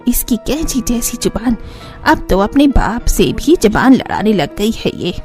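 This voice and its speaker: A woman playing a sharp-tongued, scornful old grandmother in a family cartoon, nagging and taunting. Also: on the high side, very animated, unhurried, faint music behind. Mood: scornful, accusing. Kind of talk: Hindi cartoon family drama acting.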